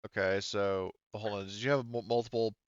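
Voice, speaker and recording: Man, game master, low voice, halting casual talk, slightly dull close dry recording. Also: fairly even intonation, clean tone, no room sound. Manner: casual, halting, thinking aloud. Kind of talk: running a tabletop sci-fi roleplaying game.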